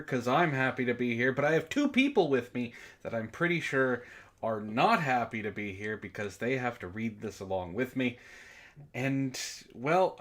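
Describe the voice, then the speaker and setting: A man's voice: mid-range voice, a bit bright. A man hosting a book club livestream in casual chat, jokey and playfully theatrical when he announces things.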